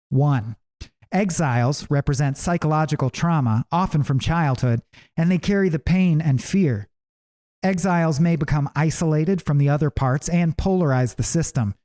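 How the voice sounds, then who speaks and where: A man reading his own audiobook as scripted narration, in a measured, deliberate way with pauses. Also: a little high, warm tone.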